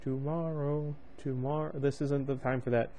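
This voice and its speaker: A man's voice: mid-range voice, flat delivery, moderate pace, dark tone. A young man reading a novel aloud in a casual way, with chatty asides, a rambling habit and frequent moments of losing his place. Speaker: book reader, channel host.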